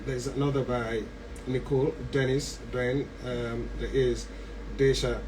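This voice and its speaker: A man hosts a radio book show in a relaxed, talky way, filling pauses with ums and repeating his words as he goes. His voice sits at a middle pitch and sounds set back from the microphone. A steady layer of noise runs under him. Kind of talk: casual radio interview. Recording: distant mic with steady background noise.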